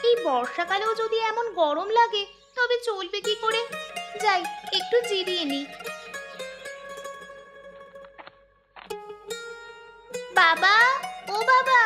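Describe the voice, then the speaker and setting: A woman's voice: very high voice, unhurried. A woman voicing a sweet, patient young village girl in a cartoon story. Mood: weary, coaxing. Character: dutiful young village girl caring for widowed father. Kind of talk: Bengali cartoon story dialogue. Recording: clear music bed behind voice.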